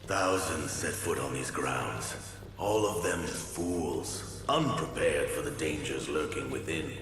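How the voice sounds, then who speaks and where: An actor playing a scornful ancient warrior spirit with a deep, contemptuous voice, heard from far off in an echoing hall. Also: male voice, takes it slowly, exaggerated voice. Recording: echoey far-off hall with music.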